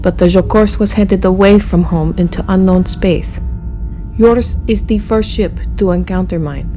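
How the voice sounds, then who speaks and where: A woman playing the gentle, sorrowful ghost of an honorable alien officer in a sci-fi audio drama, earnest and solemn. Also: mid-range voice, a little slow, soft background music, close to an everyday voice. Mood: pleading.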